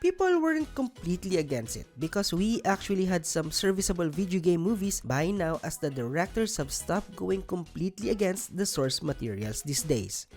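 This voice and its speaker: A woman with a fairly low voice delivers scripted countdown commentary. Her intonation is very animated, with sardonic, emphatic opinions. Light music plays underneath. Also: moderate pace, hardly any pauses. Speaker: video narrator. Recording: music bed under voice-over. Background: background music.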